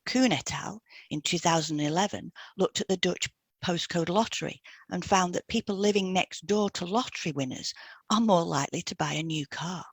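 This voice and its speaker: Female co-host for scripted explainer audio. Gravelly edge to the voice, recorded dry. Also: on the low side, moderate pace, no echo. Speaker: podcast co-host, hypnotherapist. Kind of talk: scripted educational narration.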